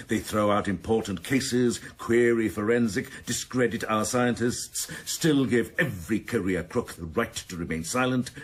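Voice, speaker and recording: A senior police detective in a radio play, very low in pitch and somewhat husky. He is cynical and scheming, laying on dry mock courtesy with a sneer. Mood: bitter, ranting. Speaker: actor in radio drama. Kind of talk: 1990s radio drama acting.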